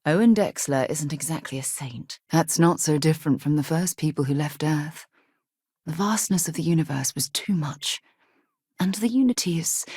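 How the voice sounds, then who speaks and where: Game companion, principled space explorer, husky lower voice, articulate, measured, dry close recording. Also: female voice, darkish tone, no room sound. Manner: articulate, measured, formal turns of phrase. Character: composed, principled woman space explorer, earnest and wry. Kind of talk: video game companion voice lines.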